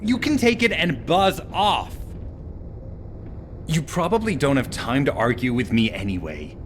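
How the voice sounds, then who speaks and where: An irritable, sarcastic game scientist with a high male voice that swings theatrically up and down. He is dismissive and moody, drawing his words out slowly to needle the listener. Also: expressive, slight background noise. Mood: irritated, dismissive. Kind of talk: video game cutscene acting.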